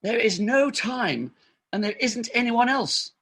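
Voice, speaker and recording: A bright, forceful and high voice from a male actor for a desperate farce doctor. He is raspy and insistent, forever cajoling his colleague. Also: expressive, a little slow, full character voice. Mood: desperate pleading. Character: desperate, fast-talking married doctor wheedling a colleague. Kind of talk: stage farce readers theater acting.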